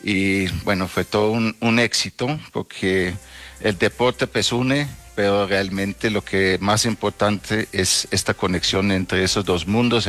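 A middle-aged man from the Netherlands, a native Dutch speaker, answering interview questions conversationally with halting, hesitant phrasing. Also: fairly low pitch, fairly bright tone. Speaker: interview guest, travel agency owner.

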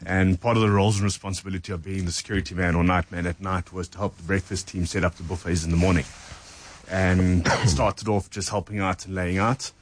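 A man's voice: low-pitched, fairly quick, slight background noise. A man in his thirties from South Africa, a head chef recounting his career step by step in a studio interview. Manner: steady, methodical recounting. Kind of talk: casual interview storytelling. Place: radio studio.

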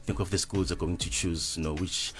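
A man from South Africa speaking as a language lecturer guest on a radio show, answering in a measured way with fillers and repeated words. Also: low voice, fairly even intonation.

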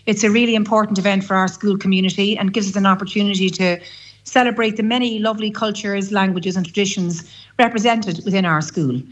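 A woman speaks earnestly and formally as a school deputy principal being interviewed. Her pitch is average, and the audio is a little dull. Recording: slightly dull, band-limited interview audio.

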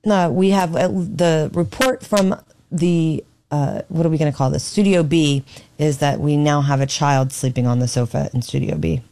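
A hoarse woman with a low, husky voice hosts a talk radio show from her home studio. She chats through the news in an opinionated, thinking-aloud way. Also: normal speed, dark tone, a little hiss or hum. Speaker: fill-in radio talk host. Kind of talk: casual talk radio commentary.

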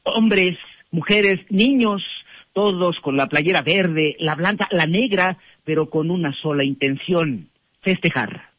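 A man with a Mexican accent giving a measured radio field report. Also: sits high, a bit bright, dry recording, lo-fi sound. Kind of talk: news report narration.

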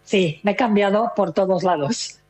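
Older female interview guest, Mexican accent, medium pitch, casual and chatty storyteller. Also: moderate pace, a little mellow, husky. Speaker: interview guest, retired listener.

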